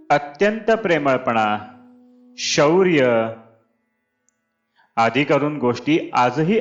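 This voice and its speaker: A man reads a scripted spiritual discourse aloud in measured, deliberate phrases. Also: on the high side, muffled recording. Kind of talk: scripted devotional discourse reading. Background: clear background music.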